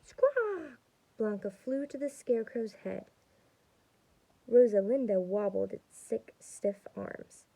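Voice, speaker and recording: A young adult woman reading a storybook aloud to children as a student, expressive and pausing to explain things. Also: mid-range voice, creaky, highs cut off. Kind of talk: storybook read-aloud with teaching asides.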